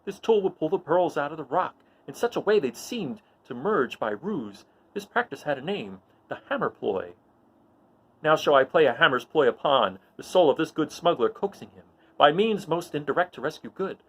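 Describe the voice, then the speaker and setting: An earnest young farmboy rebel, as a man reads him aloud, pitched well up and full of fervor. He declaims in Shakespearean verse with big, swinging intonation, like the hero of a dramatic storybook. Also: big pitch swings, normal speed, slightly rough, heavily acted. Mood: troubled, scheming.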